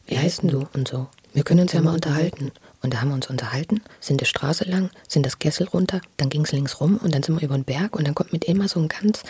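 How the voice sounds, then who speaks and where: A person telling personal memories in a spontaneous, confiding way, halting and often repeating words. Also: mid-range voice, darkish tone. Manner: halting, repetitive, earnest, confiding. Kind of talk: intimate spontaneous storytelling in a documentary radio play.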